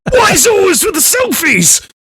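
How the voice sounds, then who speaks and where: A man voicing a distraught Welsh farmer who shouts in despair at finding a dead foal. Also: very high voice, huge pitch swings, a little fast, voice pushed up, heavily acted. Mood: anguished.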